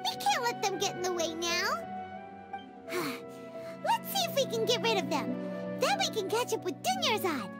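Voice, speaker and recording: A tiny, excitable fairy sidekick from a video game, with a squeaky, very high child's voice that swoops up and down. Music plays prominently behind the childlike, exclaiming delivery. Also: female voice, moderate pace, pauses often, heavily acted. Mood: flustered, indignant. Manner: excitable, exclaiming, speaks of self in third person. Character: small childlike female fairy companion, cheerful, excitable, indignant. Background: background music.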